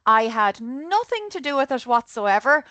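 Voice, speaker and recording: An Irish woman presenting on a video call, her voice sitting a little high. She talks in a chatty, friendly rush, tagging lines with "okay?". The sound is dry and close, with no room around it. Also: very animated, moderate pace, sharp tone, dry recording, dull audio. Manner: chatty, keeps adding "okay?". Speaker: tour operator director of sales. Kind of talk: online group presentation.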